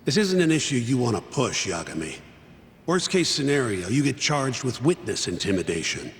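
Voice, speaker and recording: A man's voice: medium pitch, sing-song, moderate pace, a bit bright, in a large hall. An actor playing a blunt, skeptical male partner who dismisses the case. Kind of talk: video game voice acting.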